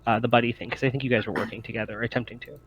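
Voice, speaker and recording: A man's voice: mid-range voice, steady tone, racing, fairly bright tone, slightly rough, muffled recording. A man talking casually as game master of a tabletop game, in a hesitant, conversational way.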